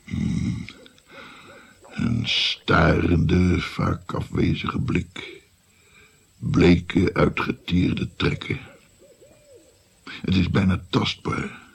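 A man playing an old grandfather in a radio drama, recounting his dark past with halting, musing delivery and a gravelly, breathy voice. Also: low-pitched, flat delivery, full character voice. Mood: brooding, reminiscing. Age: older. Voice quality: husky, gravelly, often breathy. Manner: halting, musing, drops to whispers.

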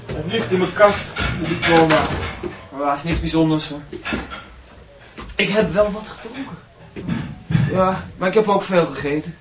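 An actor playing a casual, slightly pompous young student nephew in a radio play. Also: male voice, on the high side, normal speed, long pauses, music in the background, lightly acted. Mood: tipsy, rambling. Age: young adult. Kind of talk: radio drama acting. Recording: distant, noisy old radio recording with clicks.

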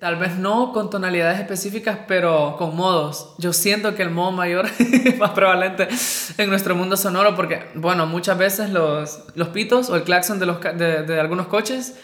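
A man's voice: high voice, moderate pace, fairly bright tone. Honduran man talking in an echoey room. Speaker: interviewee, countertenor singer. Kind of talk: casual, reflective chat.

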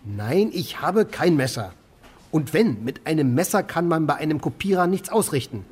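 An actor playing an aimless city drifter in a radio play, talking and musing in short, dry phrases. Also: male voice, fairly high pitch, lively intonation, moderate pace, darkish tone, slightly rough. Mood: dry, persistent. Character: aimless, plain-spoken male city drifter. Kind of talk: radio play dialogue and first-person narration.

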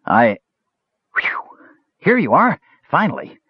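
A man's voice: mid-range voice, wildly swooping pitch, quick pace, husky, no room sound, dull audio, pitched above the performer's own voice. A man voicing a small, cheerful, talkative kender who rambles eagerly, as an audiobook character. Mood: breathless relief. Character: small cheerful chattering kender, pesky and indignant.

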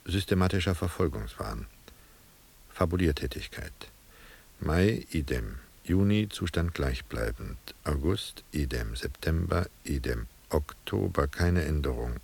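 Cold clinical record-keeper, radio play: extremely low male voice, slow, terse, bureaucratic, gravelly. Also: full character voice. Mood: detached, clinical. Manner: clipped, flat, bureaucratic. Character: clinical, detached asylum doctor reading out case records. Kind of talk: documentary-like radio drama reading.

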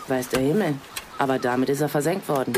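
Brief: actor as male forensic investigator, dry and factual, mid-pitched, radio play, faint scene ambience. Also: fast, noisy background, close to an everyday voice. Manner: matter-of-fact, dry. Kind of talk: radio drama acting.

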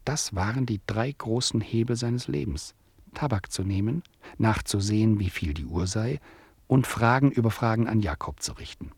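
An actor narrating a radio play as a measured, wry storyteller who addresses the listener. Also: male voice, fairly low pitch, animated, creaky, a little mellow, breathy edge, dry recording, heavily acted. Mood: wry storytelling.

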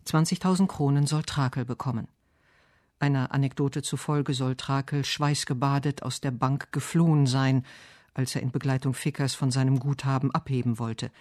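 A man reading scripted narration and verse for a literary radio essay, measured and solemn. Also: medium pitch, steady tone, a little mellow, no echo, studio quality.

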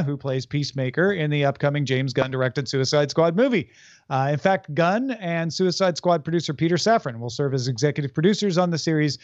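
A man hosting a podcast, reading out entertainment news in a brisk, self-deprecating way. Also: a little high, dry recording. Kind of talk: casual chat, reading news notes. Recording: dull lo-fi dry sound.

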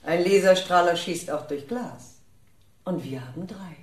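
A woman's voice: lowish voice, measured pace, darkish tone, natural voice. An actress playing a cold-blooded daddy's girl villain in a radio crime drama, commanding and sweetly cooing. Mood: coolly menacing.

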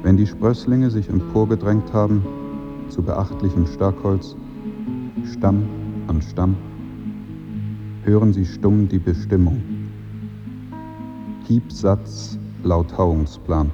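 A brooding literary narrator for a radio play. The voice is very low and unhurried, grave and declamatory. Plucked music plays clearly beneath the words. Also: male voice, steady tone. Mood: somber, reflective. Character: somber male narrator brooding in poetic prose. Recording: muffled radio drama, music underneath.

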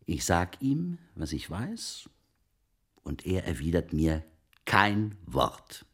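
An actor playing a wealthy, wily merchant of old Italy in a German radio play, speaking formally and deliberately in a gravelly, husky voice. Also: male voice, low voice, huge pitch swings, fairly bright tone, exaggerated voice. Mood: confiding, intense. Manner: formal archaic phrasing, deliberate storytelling.

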